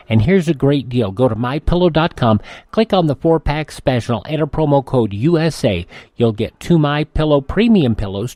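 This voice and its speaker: A man gives a folksy, earnest sales pitch at a middle pitch. His voice sounds close and dry, with no room echo around it. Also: darkish tone. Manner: folksy, earnest pitch addressing listeners as friends. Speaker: product spokesman. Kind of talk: scripted radio ad read.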